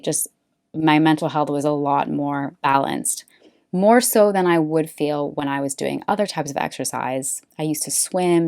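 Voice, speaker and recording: A woman from California who hosts a face yoga podcast, telling her story in a relaxed, chatty way with lots of fillers. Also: low-pitched, creaky, dry recording, clean studio sound. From Bay Area, California. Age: late 20s or older. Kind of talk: casual personal storytelling monologue.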